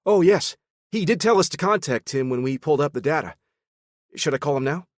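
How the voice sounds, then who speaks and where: A man's voice: medium pitch, animated, brisk, no echo, clean studio sound, voice pushed up, close to an everyday voice. A man voicing an earnest, eager male analyst who misses the tension around him.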